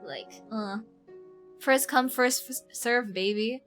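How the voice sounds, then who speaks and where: A woman with a fairly high voice streams a game, chatting in loose, slangy rambles. Her delivery is animated and giggly, with faint game music playing behind her. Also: slow. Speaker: livestreamer. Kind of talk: casual livestream chat while playing a game. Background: video game music.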